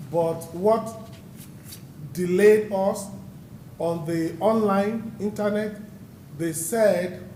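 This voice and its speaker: A man answering a board's questions at a city hearing, explaining earnestly. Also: darkish tone. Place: city council chamber. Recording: echoey room with faint rumble.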